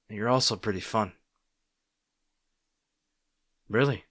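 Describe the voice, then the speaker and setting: Man acting a low-voiced teasing supervillain rival. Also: fairly bright tone, no room sound, studio quality. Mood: teasing, grudging fondness. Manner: teasing, bantering, grudgingly sincere. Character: arrogant male supervillain rival, teasing but secretly caring. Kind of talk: roleplay audio drama addressed to the listener.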